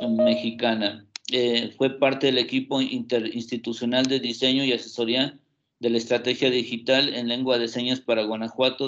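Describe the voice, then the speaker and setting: A man's voice: medium pitch, dull audio, a little hiss or hum. A man moderating a university event, formally introducing a speaker and handing over the microphone, with frequent fillers.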